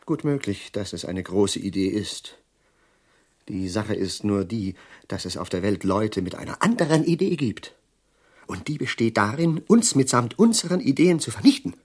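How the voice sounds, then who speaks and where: An actor playing a bitter, sardonic middle-aged professor prophesying doom in a German radio drama, with a husky, declamatory delivery. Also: male voice, fairly low pitch, big pitch swings, normal speed, full character voice. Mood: sardonic, foreboding. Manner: declamatory, sardonic, lingering on words with sudden hushes.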